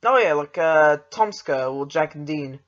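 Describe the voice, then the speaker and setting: A man's voice: a little high, animated, a little slow, fairly bright tone, highs cut off. A young man with an English accent, chatting casually as a podcast host in a hesitant, self-deprecating way.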